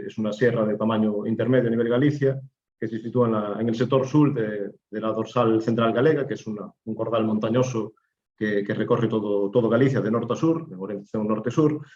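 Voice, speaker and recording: A man's voice: mid-range voice, dark tone. A man presenting at a conference over a video call, laying out his topic methodically. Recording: dull video call audio.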